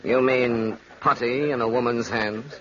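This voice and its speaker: A man acting a smooth, calculating, ambitious gambler in an old-time radio drama, with a suave, theatrical delivery. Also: mid-range voice, steady tone, a little slow, a bit bright, natural voice. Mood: suave, probing. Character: smooth, scheming gambler and ambitious male schemer. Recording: dull, noisy old radio recording.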